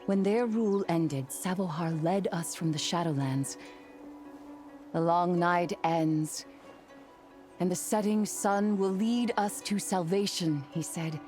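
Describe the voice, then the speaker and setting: A low female voice carries a devout follower's stubborn loyalty. She speaks solemnly and reverently in measured game cutscene phrases, with prominent music behind her. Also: dark tone. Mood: reverent, earnest. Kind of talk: video game cutscene acting.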